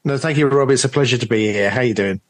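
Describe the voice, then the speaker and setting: A man with an English accent talks as a podcast guest. His pitch is average and his delivery is casual and conversational. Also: quick pace. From England. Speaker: interview guest, audio drama director. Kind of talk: casual interview chat.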